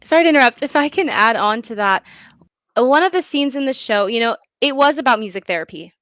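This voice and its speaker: A woman's voice: a little high, animated, fast, fairly bright tone, dry recording. A young woman speaking as an interview guest, earnest and effusive about her own work. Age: young adult. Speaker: guest, student playwright. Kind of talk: enthusiastic interview talk.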